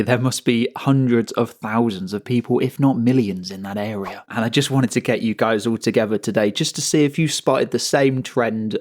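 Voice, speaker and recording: A man hosting his own channel, talking slowly through an unscripted commentary with asides and frequent restarts. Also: medium pitch. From England. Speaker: channel host.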